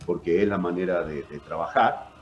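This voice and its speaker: An Argentine man whose accent blends the north with Córdoba explains his work in a measured, chatty way, dropping in fillers and little tag questions. His voice sits mid-range and the recording sounds dull and lo-fi. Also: low voice. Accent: Argentine, northern mixed with Córdoba. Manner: explanatory, with frequent fillers and "¿no?" tags. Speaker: voice actor and announcer. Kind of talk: radio interview guest.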